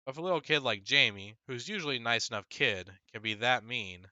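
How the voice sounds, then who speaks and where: A man reading a children's novel aloud as an expressive storybook narrator. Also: mid-range voice, fairly quick, clean tone, no room sound, lo-fi sound.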